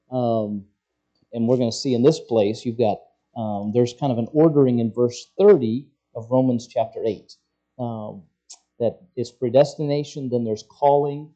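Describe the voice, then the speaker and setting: A man preaching an expository sermon, working through scripture as a teacher would, often halting as he searches for words. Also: mid-range voice, warm tone, lo-fi sound.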